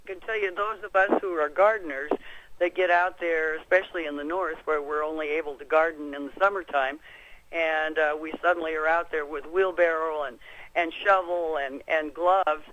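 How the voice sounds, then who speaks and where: A woman's voice: sits low, steady tone, moderate pace, fairly bright tone, lo-fi sound. An American woman answering as an expert guest on a conference call, explaining things in a folksy, conversational way. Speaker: expert guest, clinic practitioner.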